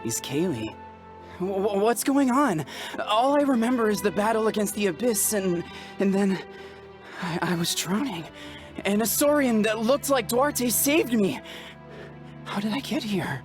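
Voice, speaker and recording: A woman playing a weary, shaken young female warrior in a video game, speaking haltingly with sighs. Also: medium pitch, expressive, natural voice. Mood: confused, alarmed. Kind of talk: dramatic video game voice acting. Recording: loud music under the voice.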